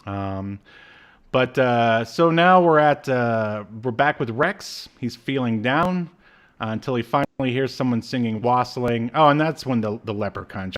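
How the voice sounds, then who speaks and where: A man in his thirties chats as a podcast co-host. His voice sits at an average pitch. He recaps in a halting, casual way, piece by piece. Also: lively intonation. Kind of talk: casual recap and review chat. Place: small indoor room, video call.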